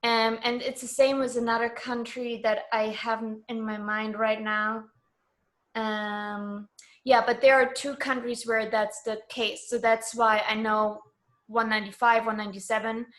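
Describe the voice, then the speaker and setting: A woman from Germany as a guest in a casual interview, explaining things in measured detail. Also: fairly high pitch, level tone, fairly bright tone.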